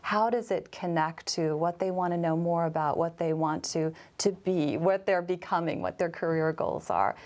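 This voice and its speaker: A woman with a lowish voice speaks as a guest on a community TV interview. She is a college professor from Vermont who explains her ideas thoughtfully. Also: lively intonation, moderate pace, few pauses, a little mellow. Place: TV studio.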